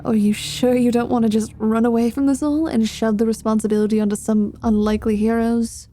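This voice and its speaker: A woman's voice: a little high, level tone, a little fast, warm tone, no echo, faint music behind, lightly acted. A woman playing a wry, foul-mouthed adventurer and reluctant hero in an intimate ASMR fantasy roleplay. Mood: wry, troubled.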